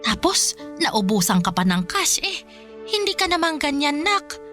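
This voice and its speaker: A woman's voice: high voice, sing-song, a little slow, pushed and bright, heavily acted. Lilting, concerned Filipino mother. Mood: tactful, worried. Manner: solicitous, tactful. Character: caring, gently concerned middle-aged Filipino mother. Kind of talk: Filipino radio drama acting. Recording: music behind the voice.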